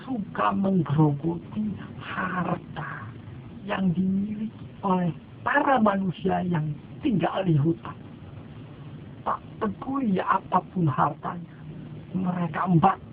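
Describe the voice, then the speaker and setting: Slow, high-voiced man telling a folk tale. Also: gravelly. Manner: chatty asides to listeners. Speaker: narrator in pirate persona. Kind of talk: casual storytelling narration. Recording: tinny lo-fi with faint noise.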